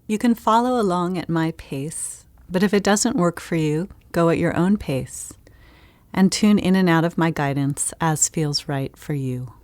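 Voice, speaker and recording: A woman leading a guided meditation in a calm, gentle manner, giving unhurried instructions with pauses. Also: fairly low pitch, no room sound.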